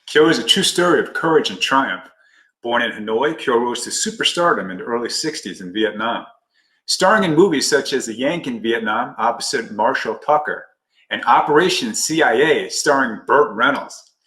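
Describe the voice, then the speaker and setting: A man's voice: mid-range voice, lively intonation, normal speed, pushed and bright. Earnest male podcast host in a roomy space. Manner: earnest, formal reading of the intro. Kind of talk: scripted guest introduction and interview questions. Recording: roomy echo.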